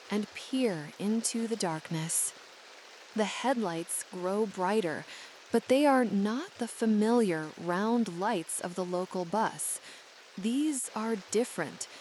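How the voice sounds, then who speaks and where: A woman narrates a guided sleep visualization at a very unhurried pace, with a medium-pitched voice. She phrases each thought deliberately and soothingly. A faint hiss of rain runs behind her words. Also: darkish tone, rough recording.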